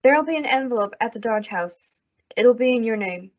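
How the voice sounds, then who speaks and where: A woman's voice: fairly high pitch, animated, a little fast. A woman playing a bitter, hard frontier widow in an old-time radio western, curt and rustic in manner. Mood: subdued, halting. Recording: dull lo-fi radio drama recording.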